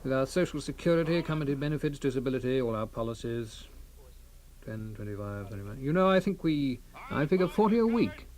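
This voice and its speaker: An actor playing a self-pitying middle-aged husband given to exaggeration, exclaiming and repeating himself in comic radio play style. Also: male voice, medium pitch, animated, brisk, darkish tone, close to an everyday voice. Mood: resigned, brightening. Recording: noisy radio drama recording.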